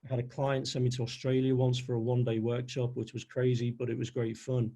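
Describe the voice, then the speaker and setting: A man's voice: mid-range voice, completely flat delivery, brisk, dark tone. A man with a Liverpool accent giving a chatty live webinar as an NLP trainer, reading out audience answers as he goes. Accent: Liverpool (Scouse). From Liverpool, England.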